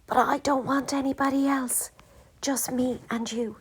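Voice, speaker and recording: A little girl goose from a children's storybook, played by a woman. She is shy and affectionate, with a soft, confiding delivery. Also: high-pitched, steady tone, unhurried, husky, pitched above the performer's own voice, full character voice, low-quality recording. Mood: quiet, pleading. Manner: hushed, confiding.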